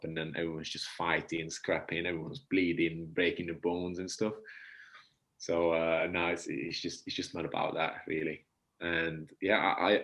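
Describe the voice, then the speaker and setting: Deep, flat-voiced teen with Hungarian-Brummie accent. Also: male voice. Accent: Hungarian mixed with Birmingham. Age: under 20. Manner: earnest, thoughtful. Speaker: young goalkeeper guest. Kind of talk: podcast interview answer. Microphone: video call.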